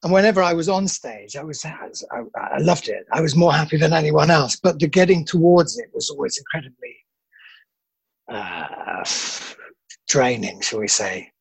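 A middle-aged film actor with a husky voice, answering interview questions in a self-deprecating, halting way. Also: male voice, fairly high pitch, expressive, dry recording, poor audio.

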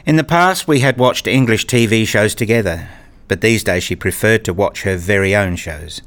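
A man's voice: lowish voice, moderate pace, a bit bright. A man playing a weary, hurt father who narrates his own story in a radio play. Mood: sombre, measured. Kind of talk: first-person radio play narration and acting.

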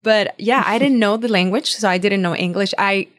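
A middle-aged woman from Puerto Rico whose first language is Spanish, speaking English with a Puerto Rican accent as a guest telling her story, chatty and quick to laugh. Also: medium pitch, a bit bright. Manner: laughs often, chatty and bubbly. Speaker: guest author. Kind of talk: casual podcast interview.

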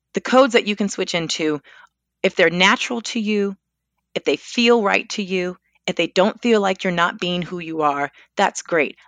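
A woman who is a speech language pathologist, talking thoughtfully and with lively emphasis in a podcast discussion. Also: fairly low pitch, no echo.

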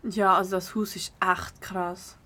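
A woman's voice: lowish voice, little pitch movement, unhurried, pushed and bright. A young woman playing a cautious but adventurous teenage girl in an amateur radio drama, speaking in casual youth slang. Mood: impressed. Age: young adult.

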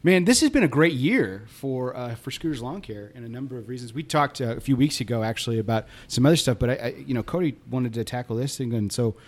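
A man's voice: medium pitch, lively intonation. A man in his thirties co-hosting a podcast, chatting casually with lots of restarts and fillers. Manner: halting, many fillers and restarts, friendly. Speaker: podcast co-host.

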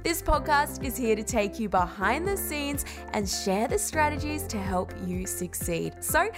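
A woman's voice: a little high, animated, measured pace, music in the background. Upbeat woman podcast host. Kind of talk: scripted podcast intro then interview.

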